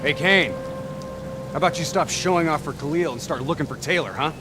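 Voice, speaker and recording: An actor playing a hot-headed, profane soldier in a cinematic video game, with a gruff, emphatic delivery. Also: male voice, fairly high pitch, a little fast, over music, lightly acted. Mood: tense. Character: hot-headed, profane male soldier pleading with lost comrade.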